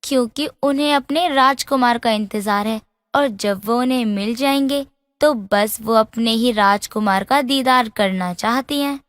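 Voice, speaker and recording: A woman's voice: a little high, measured pace, no room sound, clean studio sound, in a large hall, close to an everyday voice. A woman playing a princess's loyal young friend in a fairy tale, forthright and protective. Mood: earnest.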